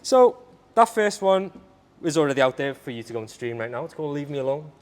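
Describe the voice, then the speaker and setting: A man's voice: fairly high pitch, sing-song, fast, darkish tone. A young British man from the UK, a singer-songwriter guesting on a podcast, chatting casually and often trailing off. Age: young adult.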